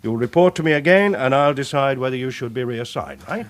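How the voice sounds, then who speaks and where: An actor with a British accent playing a brisk, commanding male police chief inspector, giving curt orders in a radio drama. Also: mid-range voice, lively intonation, lightly acted. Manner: curt, authoritative, clipped orders. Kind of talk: 1980s radio drama acting.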